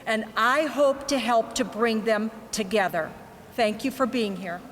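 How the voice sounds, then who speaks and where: Older woman, volunteer, high voice, direct and earnest, hall reverb. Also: measured pace. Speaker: volunteer commission member. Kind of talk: formal public statement. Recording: echoey hall with faint noise.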